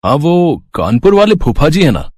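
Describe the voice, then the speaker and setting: A man's voice: a little high, big pitch swings, moderate pace, a little mellow, no echo, clean studio sound. A man voicing a good-humored middle-aged father who teases his wife in an easygoing way. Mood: teasing. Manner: teasing, easygoing.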